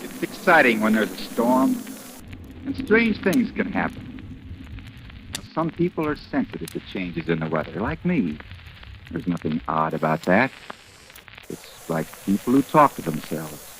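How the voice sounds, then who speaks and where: Low-voiced, uneasy loner. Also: male voice, sing-song, measured pace, pauses often, a bit bright, a little hiss or hum, heavily acted, rough recording. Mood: uneasy, confiding. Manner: defensive, repetitive, confiding. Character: uneasy, odd loner confiding and talking to himself. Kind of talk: 1940s radio acting, first-person monologue. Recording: old radio recording with music bed. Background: music.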